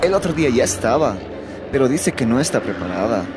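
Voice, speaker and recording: A man's voice: medium pitch, big pitch swings, brisk, noisy background. A man with a Bolivian accent acting an insecure, hesitant teenage boy torn between love and bravado in a radio drama. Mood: hesitant, defensive. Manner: hesitant, slangy.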